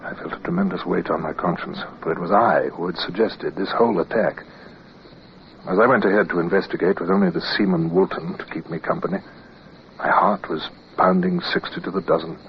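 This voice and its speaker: British naval officer, low voice, formal, earnest, composed, radio drama, dull old recording. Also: male voice, lively intonation, creaky, a little hiss or hum. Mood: tense, reflective. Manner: formal, measured, clipped orders. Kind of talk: radio drama acting and first-person narration.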